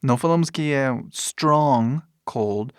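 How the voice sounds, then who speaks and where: A man with an English speaker's foreign accent, a native English speaker, teaching in a deliberate way with pauses between short phrases and example sentences. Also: mid-range voice, clean tone, dry recording, studio quality. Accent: English-speaking foreigner's accent in Portuguese. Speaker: English teacher giving an online lesson. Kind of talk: instructional lesson with example sentences.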